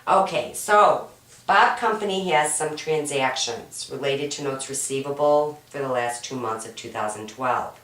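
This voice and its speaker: A woman with a low, hoarse, raspy voice from a cold walks through homework problems one step at a time. She is patient and keeps checking in with "right?". The recording sounds roomy and distant, as if picked up from across the room. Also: measured pace, fairly bright tone. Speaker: accounting instructor. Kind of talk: homework walkthrough lesson. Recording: roomy echo, mic at a distance.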